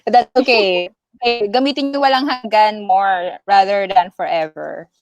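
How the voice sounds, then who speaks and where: A Filipino woman hosting a casual online interview, playful and quick with short replies. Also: mid-range voice, no room sound, muffled recording. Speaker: host. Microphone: video call.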